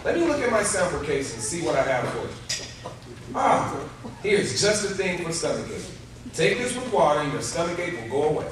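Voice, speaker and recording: A man's voice: fairly high pitch, very animated, brisk, background noise. A man playing a cheerful, doting 1950s father in a live old-time radio play. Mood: reassuring. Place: theater stage before live audience. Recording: echoey live stage, distant mic, loud music.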